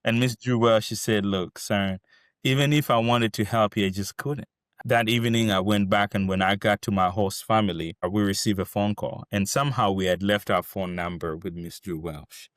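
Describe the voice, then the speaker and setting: A man's voice: fairly low pitch, no echo, studio quality. A man in his thirties with a Ugandan accent, telling his life story earnestly, with many fillers and restarts. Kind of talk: personal storytelling to camera.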